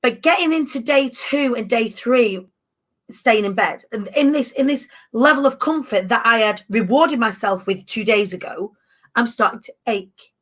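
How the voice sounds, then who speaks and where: A woman in her thirties hosting a casual chat, animated and emphatic with playful asides. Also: on the high side, moderate pace. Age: 30s. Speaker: podcast host.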